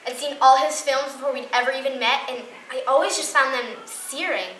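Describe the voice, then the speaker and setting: A woman's voice: high voice, fairly quick, a bit bright. A woman playing a hurt but devoted girlfriend confiding earnestly in a friend, in a stage monologue. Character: hurt woman earnestly excusing her genius filmmaker boyfriend. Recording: echoing room, distant mic, clear noise.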